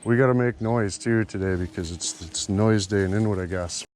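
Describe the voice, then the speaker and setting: A man's voice: on the low side, normal speed, darkish tone. A man bantering casually outdoors, swearing freely and joking around as he vlogs. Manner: foul-mouthed, joking. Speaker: vlogger. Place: outdoors in a yard and driveway. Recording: noisy outdoor recording, vehicle and ambient noise.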